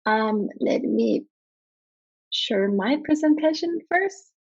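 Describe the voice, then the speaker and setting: A woman's voice: high-pitched, animated, slow, no echo, highs cut off. A woman from Spain with a Spanish accent, giving a conference talk over a video call, with lots of ums and uhs. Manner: hesitant, frequent ums and uhs. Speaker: conference speaker. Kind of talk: conference presentation.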